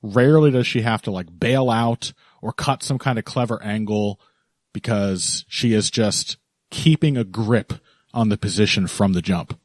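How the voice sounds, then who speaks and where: A man's voice: on the low side, moderate pace, no echo, studio quality. A male podcast co-host chatting casually and analytically about fights, teasing his co-host and laughing easily.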